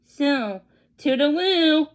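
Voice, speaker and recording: A woman's voice: high voice, animated, extremely slow, voice pushed up, exaggerated voice. A woman voicing a kindly, fretful Mrs. Claus in a storybook read-along, speaking earnestly.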